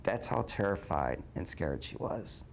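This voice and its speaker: Man, forties, American accent, deep voice, reflective interviewee, pauses, light rumbly noise. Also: animated, gravelly. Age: 40s. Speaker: interviewee, victim's son. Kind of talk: personal interview.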